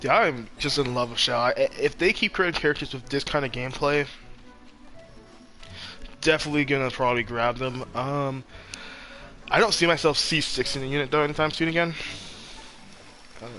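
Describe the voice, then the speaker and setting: A man's voice: medium pitch, normal speed, long pauses, bright, forceful tone, faint music behind. A man chatting casually on a gaming stream, rambling along in slangy talk. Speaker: streamer playing a game. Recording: background noise behind voice.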